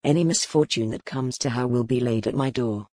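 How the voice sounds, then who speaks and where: A woman voicing a stern male duke who is master of his keep, speaking low and firm in an audiobook. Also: brisk, dark tone, no echo. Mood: commanding. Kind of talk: audiobook character voice.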